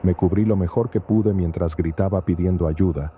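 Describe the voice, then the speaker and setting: Male narrator, low and very dark voice, steady measured read for a horror story channel. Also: slight background noise. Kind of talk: scripted first-person narration.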